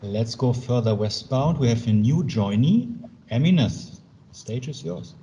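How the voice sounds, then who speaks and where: A man hosting a panel discussion over a video call, halting with frequent fillers. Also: medium pitch, animated, warm tone. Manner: hesitant, many fillers. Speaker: panel moderator. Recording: video call with clear background noise.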